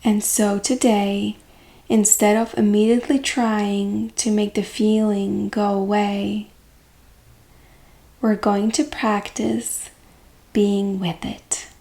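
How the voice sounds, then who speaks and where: Slow, gentle woman guiding meditation. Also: mid-range voice. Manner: gentle, calming, unhurried delivery with long pauses. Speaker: emotional eating coach and meditation guide. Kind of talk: guided meditation.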